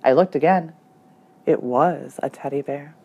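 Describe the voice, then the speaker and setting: A woman's voice: sits low, measured pace, breathy edge. A woman reading a children's story aloud as a teacher, expressively and acting out the dialogue. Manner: animated, acts out the dialogue.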